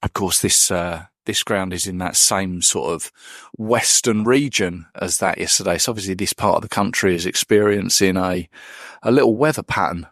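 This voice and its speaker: A man's voice: deep voice, normal speed, a bit bright, no room sound, studio quality. A man hosting a podcast, giving rambling on-the-spot commentary from a football stadium, with many ums and restarts. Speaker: podcast host reporting from a match. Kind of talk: on-the-spot match-day commentary. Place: at a football stadium, outdoors and in the stands.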